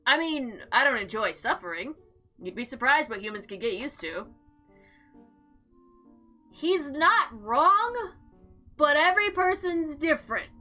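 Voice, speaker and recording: Woman, let's play narrator, very lively swooping pitch, bright voice, expressive reading, casual reactions, soft music behind. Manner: animated, expressive, dramatic reading. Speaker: gamer reading and reacting to a visual novel. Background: faint background music.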